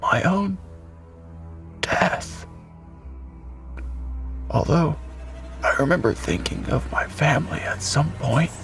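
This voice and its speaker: A man playing a doomed blast survivor recording his last words in an audio drama, raspy, halting and breathy. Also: mid-range voice, fairly bright tone, heavily acted. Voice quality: raspy, coughing. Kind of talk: dramatic audio story monologue.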